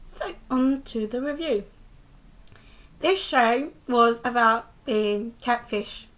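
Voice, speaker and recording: A woman's voice: fairly high pitch, slow, fairly bright tone. A young British woman doing a casual, rambling review of a TV episode, talking into a handheld camera held close to her face, with lots of ums and restarts. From United Kingdom.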